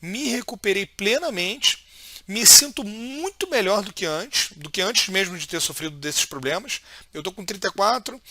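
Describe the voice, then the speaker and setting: Male vlogger, 30s, high voice, dry close sound, chatty and earnest. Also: gravelly, bright, forceful tone, no room sound. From Brazil. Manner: informal, many fillers and restarts, earnest. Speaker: health video host. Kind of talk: casual video monologue to viewers.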